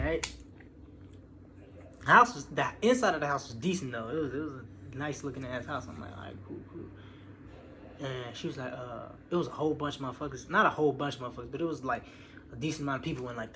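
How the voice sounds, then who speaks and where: A young American man casually telling a personal story in slangy, expletive-heavy speech, acting out what people said. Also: mid-range voice. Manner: animated slangy retelling with acted-out quotes, swearing freely. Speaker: online storyteller. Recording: clearly noisy background.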